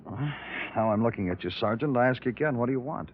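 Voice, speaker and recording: An actor playing a hardened New York homicide detective in a radio crime drama, terse, deadpan and world-weary. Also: male voice, medium pitch, quick pace, lightly acted. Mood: curt, impatient. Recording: muffled, noisy old radio recording.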